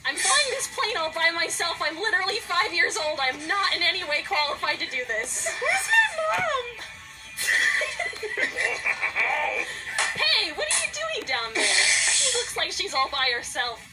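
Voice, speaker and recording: A woman's voice: very high voice, big pitch swings, normal speed, hardly any pauses. A woman voicing brash, whiny, pushy young game characters in an improvised comedy fandub. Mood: whiny, panicked. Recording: replayed video, distant, music behind.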